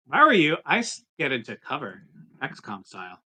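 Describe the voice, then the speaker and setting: A man's voice: mid-range voice, wildly swooping pitch, a little fast, bright, forceful tone. A man giving casual rules advice as a player in a tabletop roleplaying game.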